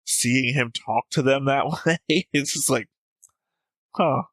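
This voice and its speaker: A man's voice: medium pitch, slow pace, a bit bright, no echo. A man hosting a reaction show, explaining at length in a casual, musing way.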